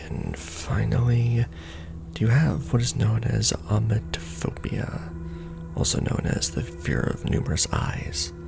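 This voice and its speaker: A man playing a casually menacing secret-society recruiter in an ASMR roleplay, with a breathy, mostly whispered, gravelly voice and sardonic, conspiratorial patter, close on the microphone. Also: fairly low pitch, unhurried, background noise. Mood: chummy, sardonic. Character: casually menacing Illuminati recruiter onboarding a new initiate.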